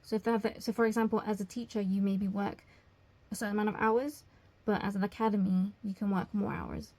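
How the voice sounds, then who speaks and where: A young woman answering job interview questions as a language teacher, explaining things in a measured way. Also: mid-range voice, level tone, a little mellow. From England.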